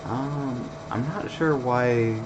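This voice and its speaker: A man streaming a video game with casual, laid-back commentary in a monotone voice, full of fillers. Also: mid-range voice, extremely slow, dull audio, background noise. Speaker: let's play streamer.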